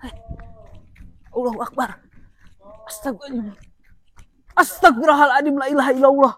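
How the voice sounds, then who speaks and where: A frightened woman shouting repeated prayers in panic and calling out for help. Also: sits high, fairly even intonation, brisk. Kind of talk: frantic real-time reaction.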